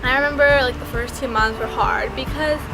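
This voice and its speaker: A woman's voice: high voice, fairly quick, rough recording. A young American woman telling a casual storytime, chatty and full of "like", acting out her past self. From United States. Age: young adult. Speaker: vlogger. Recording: loud background music.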